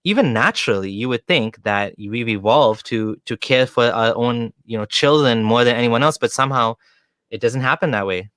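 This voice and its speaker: A man's voice: mid-range voice, normal speed, fairly bright tone, dry recording, studio quality. A man hosting a casual livestream interview, talking informally and often rephrasing himself as he goes. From Kenya. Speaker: interviewer, show host.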